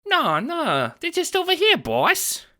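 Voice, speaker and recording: A gleeful, fawning puppet creature, squawking high and very bright. The delivery is drawn out and swings wildly, like a manic cartoon sidekick eager to please the boss. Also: huge pitch swings, slow pace, heavily acted. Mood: excited, proud. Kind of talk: audio drama character voice.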